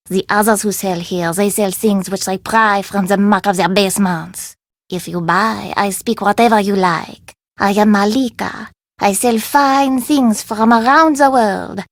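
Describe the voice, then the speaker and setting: Proud, high-voiced market seller. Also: female voice, no echo, studio quality. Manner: emphatic haggling in formal, foreign-sounding phrasing. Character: proud, stubborn female street vendor of curios. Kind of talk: video game character dialogue.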